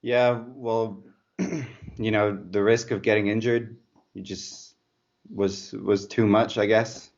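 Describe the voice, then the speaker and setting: Deep-voiced Slovak man, halting and conversational. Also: little pitch movement, a little mellow. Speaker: former professional road cyclist turned mountain bike racer, interviewee. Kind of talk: casual interview chat. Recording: dull lo-fi audio.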